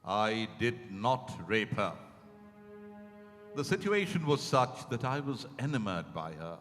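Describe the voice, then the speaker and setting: Defensive, cornered man played by a male actor, mid-pitched, self-justifying, stage reading in a reverberant hall. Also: big pitch swings, slow pace, a bit bright. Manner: hesitant, pleading, self-justifying. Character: defensive, cornered man pleading his case. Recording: hall reverb, faint music behind.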